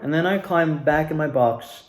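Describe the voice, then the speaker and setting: A man's voice: fairly high pitch, normal speed, slight background noise. Young man chatting casually in an echoey room. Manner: animated retelling, acts out quoted lines. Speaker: amateur actor recounting own performance. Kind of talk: casual self-interview storytelling. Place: indoors at home.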